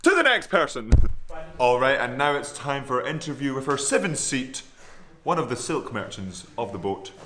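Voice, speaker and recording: A man's voice: medium pitch, very animated, moderate pace, reverberant, a crowd in the background. A Scottish man in his twenties, jokingly interviewing his rowing crewmates for a vlog. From Scotland. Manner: jokey, teasing, laughs often. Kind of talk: playful mock interview.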